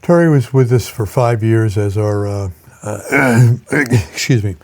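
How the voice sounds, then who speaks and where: An older man speaking as a college president in an interview, reflective and measured, with halting pauses. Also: fairly low pitch, a little mellow.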